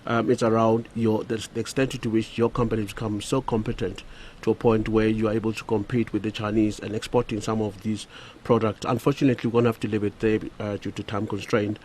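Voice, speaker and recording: A man hosts a radio interview in a fairly low voice. He talks haltingly, full of fillers and restarts, with a faint hiss under the recording. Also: little pitch movement, darkish tone. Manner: hesitant, many fillers. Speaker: radio show host.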